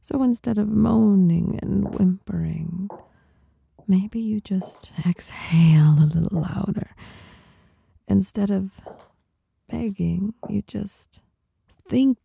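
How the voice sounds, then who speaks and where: A woman whispers breathily, right up on the mic, in a dark, mellow tone with heavy crackling fry. She speaks very slowly, seductive and teasing, with soft authority in an intimate ASMR style. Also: mid-range voice, dry recording, studio quality. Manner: seductive, teasing, softly dominant. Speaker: performer of adult audio recordings. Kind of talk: intimate adult ASMR monologue. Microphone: close microphone.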